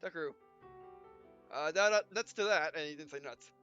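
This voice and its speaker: High-voiced man rambling through a game, muffled. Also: moderate pace, pushed and bright. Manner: rambling, stumbling over read lines, filler syllables. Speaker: gaming video host. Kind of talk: let's play, reading visual novel dialogue aloud with asides. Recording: muffled lo-fi mic, music behind. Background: game music.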